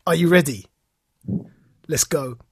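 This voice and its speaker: A man talks as a podcast co-host in a dry, close sound. His voice has a husky grain. He reviews casually, like a storyteller among friends, repeating phrases to drive them home. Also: on the high side, slow, dry recording. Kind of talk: casual chat recounting and reviewing a show.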